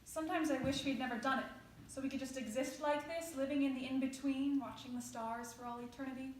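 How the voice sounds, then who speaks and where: A woman's voice: sits high, steady tone, quick pace, natural voice. A woman playing a weary, loving, wistful soulmate in a staged play reading. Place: indoor stage. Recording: very echoey room, faint noise.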